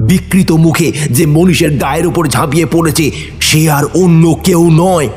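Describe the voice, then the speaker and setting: A man narrating a horror audio story in a measured, suspenseful manner. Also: a little high, a little mellow. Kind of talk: dramatic scripted narration. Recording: reverberant studio with clear music. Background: music.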